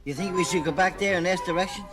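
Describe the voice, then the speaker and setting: A man's voice: high-pitched, quick pace, music in the background, close to an everyday voice. A man voicing a mild, friendly, slightly wary stooge sidekick in a slapstick cartoon, with simple, helpful delivery. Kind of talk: slapstick cartoon comedy acting.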